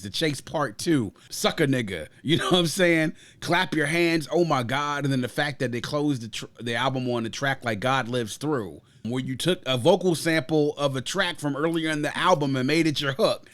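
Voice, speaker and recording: A man's voice: mid-range voice, dry recording. A man hosting a music podcast, giving an emphatic, conversational review and often checking in with the listener.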